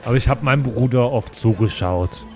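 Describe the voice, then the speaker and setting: A man's voice: medium pitch, fairly quick, faint music behind, natural voice. A man playing a small, naive, chatty dwarf in an improvised tabletop game, polite and hesitant. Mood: innocent, curious. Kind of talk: improvised tabletop role-play.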